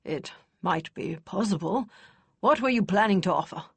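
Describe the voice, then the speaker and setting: A woman voicing a gruff older male military commander in an audiobook, curt and growling. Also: on the low side, animated, moderate pace, dry recording, full character voice. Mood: grudging.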